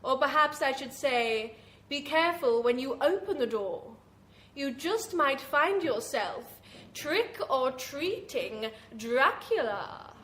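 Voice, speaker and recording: An actress playing a beguiling, gleefully macabre hostess of a 1940s-style horror radio show, with theatrical, teasing phrasing. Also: female voice, sits high, lively intonation, slow pace, a bit bright, a little hiss or hum, exaggerated voice. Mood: teasing, ominous. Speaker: host. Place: small theatre before an audience. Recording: echoey live stage.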